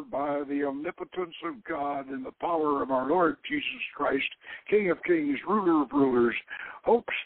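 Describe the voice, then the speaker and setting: High-voiced wry gentleman adventurer, read by a man. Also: no room sound. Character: gentlemanly, skeptical, wry male archaeologist adventurer. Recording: dull, lo-fi radio sound.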